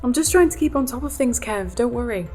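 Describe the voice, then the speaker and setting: A woman playing a greedy, resentful wife, brisk and dismissive, in a dramatic audio drama. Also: on the high side, expressive, darkish tone, soft background music. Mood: dismissive reassurance.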